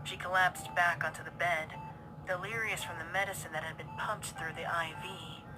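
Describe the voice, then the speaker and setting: A woman's voice: lowish voice, steady tone, moderate pace, low-quality recording. A woman reading scripted narration for a dramatic story. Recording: loud music bed behind voice. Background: music.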